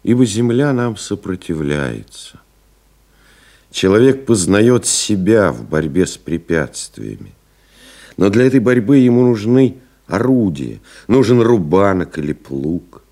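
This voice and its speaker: Slow, deep-voiced contemplative male pilot. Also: very animated, dark tone, full character voice. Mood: solemn, reflective. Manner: measured, contemplative, deliberate phrasing. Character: reflective male French pilot recalling his flights. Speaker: actor narrating an audio play. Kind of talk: dramatic literary monologue, scripted narration.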